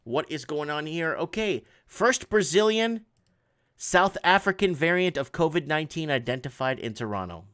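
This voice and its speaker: A middle-aged man whose first language is Portuguese, hosting an online news show and reading headlines aloud in a halting way, with frequent restarts and casual asides. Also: on the high side, expressive, a bit bright, clear, resonant tone, no room sound, clean studio sound.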